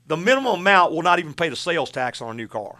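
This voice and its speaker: A man's voice: on the high side, big pitch swings, racing, crowd noise. A man, hosting a call-in car advice show in a folksy, plain-spoken way. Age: 60s. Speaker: radio show host and auto repair shop owner.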